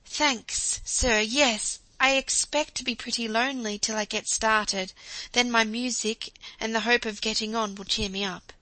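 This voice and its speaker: A woman reading the lines of a modest, dutiful young man who is a musician, polite and soft-spoken. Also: a little high, steady tone, measured pace, no room sound, low-quality recording.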